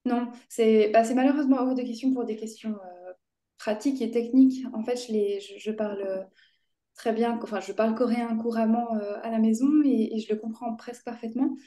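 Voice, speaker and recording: Soft, dark-voiced woman, halting and thoughtful. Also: on the high side, steady tone. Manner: halting, many restarts and fillers. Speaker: novelist guest. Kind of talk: hesitant reflective talk. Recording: echoey room.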